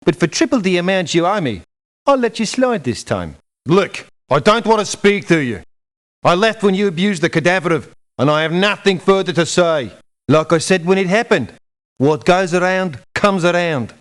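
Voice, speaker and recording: An actor voicing a proud, sardonic doctor turned mercenary in a video game, haughty and scolding. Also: male voice, sits high, expressive, normal speed. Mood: indignant. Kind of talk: video game character lines.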